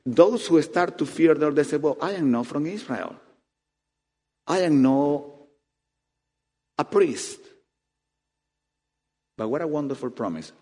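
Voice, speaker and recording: A man with preaches in a hall with a long, ringing reverb. His voice sits a little high for a man and leaps up and down with animated, emphatic swings. He drops to soft asides, then climbs to ringing peaks. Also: unhurried, pauses often, breathy edge. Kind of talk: sermon. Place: church hall.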